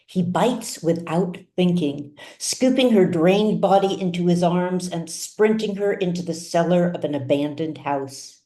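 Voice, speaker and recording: A woman reading her own fiction aloud as scripted audiobook narration, with measured, deliberate phrasing and dramatic pauses. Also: lowish voice, dark tone, roomy sound. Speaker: author reading her own serialized novel.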